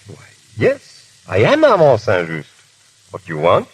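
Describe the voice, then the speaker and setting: An actor playing a loyal, anxious French patriot in a 1930s radio drama, with an excitable, emphatic delivery. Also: male voice, fairly low pitch, a little slow, husky, dry recording, full character voice. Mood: wary. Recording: hissy 1930s radio broadcast.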